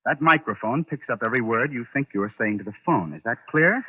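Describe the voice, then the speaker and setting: An actor playing a shrewd, sardonic gentleman detective with a theatrical, probing manner in 1940s radio drama style. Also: mid-range voice, expressive, fairly quick, breathy edge. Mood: explaining, measured. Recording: muffled, tinny 1940s radio recording.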